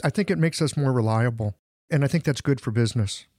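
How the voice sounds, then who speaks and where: A man hosting a podcast, a practitioner chatting in a relaxed, folksy way with everyday analogies. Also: medium pitch, fast, no echo, studio quality. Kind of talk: casual professional conversation.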